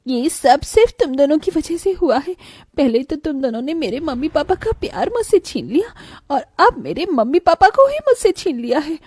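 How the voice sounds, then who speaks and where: A woman's voice: high-pitched, very animated, moderate pace, darkish tone, dry recording, voice pushed up. A woman voicing a jealous, bossy young elder stepsister in a cartoon story, sneering and scolding as she speaks. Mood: angry, bitter. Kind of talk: cartoon bedtime story voice acting.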